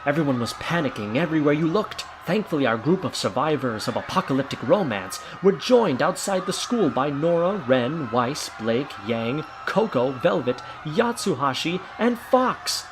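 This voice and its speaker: Guy narrating an audio drama, really animated storyteller, noisy background. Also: on the high side, normal speed, hardly any pauses. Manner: theatrical storytelling. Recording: clear background noise and ambience.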